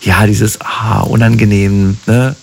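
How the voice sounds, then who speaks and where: A low-voiced man leads a relaxed interview, his voice gravelly with a husky edge. He asks long, searching questions and thinks aloud as he shapes them. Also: takes it slowly. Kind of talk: conversational interview.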